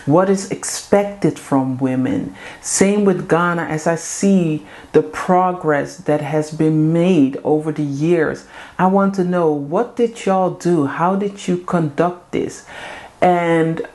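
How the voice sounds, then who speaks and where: A woman's voice: low voice, takes it slowly, darkish tone. A woman, hosting her own vlog and talking straight to her viewers in an earnest, heartfelt, chatty way with the odd "um". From Suriname-Creole family in the Netherlands. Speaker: vlog host. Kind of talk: casual direct-to-camera talk.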